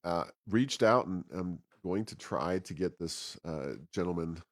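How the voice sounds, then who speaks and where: A man co-hosting a podcast, talking through news and announcements in a casual, halting conversational way. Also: low voice, dry recording, clean studio sound. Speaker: podcast co-host.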